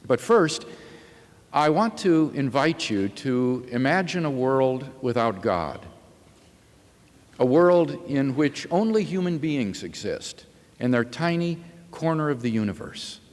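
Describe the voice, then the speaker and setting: A man with a mid-range voice addresses an audience as a public lecturer. He is deliberate and measured, and his words carry a long hall reverb. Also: mid-range voice, expressive. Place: large indoor hall.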